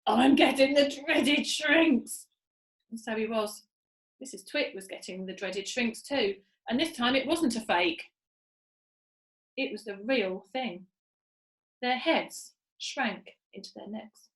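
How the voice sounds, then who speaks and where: A woman reading a children's story aloud with expressive storytelling delivery. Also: on the high side, slow pace, pauses often. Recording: echoey room.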